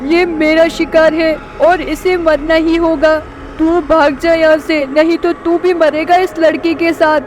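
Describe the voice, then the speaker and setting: A woman voicing a menacing, vengeful witch in an animated horror story, taunting and threatening her prey. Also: extremely high pitch, measured pace. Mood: defiant threat. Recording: background music and clear noise.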